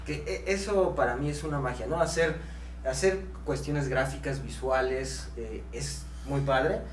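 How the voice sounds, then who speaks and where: A man's voice: medium pitch, a little slow. A man with a Mexican accent talking casually as a podcast co-host. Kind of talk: casual conversational podcast talk. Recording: echoey room, distant mic, faint hum.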